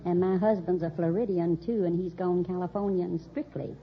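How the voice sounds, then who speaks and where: A woman's voice: fairly low pitch, steady tone, moderate pace. Female contestant, middle-aged, Southern drawl from the US, chatty and respectful, on a dull, noisy vintage broadcast. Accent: Southern American. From Macon, Georgia, USA. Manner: good-humored, giggly, respectful. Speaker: quiz show contestant. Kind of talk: chatty personal answers to a host. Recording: muffled old radio recording, noisy audience. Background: studio audience.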